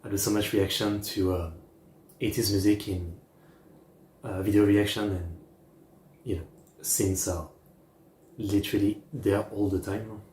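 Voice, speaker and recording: A man streamer giving casual live reaction commentary, talking haltingly in short phrases with pauses. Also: on the low side, steady tone, darkish tone, slight background noise. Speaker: streamer reacting to music videos. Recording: roomy echo, music playing underneath. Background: music from the videos.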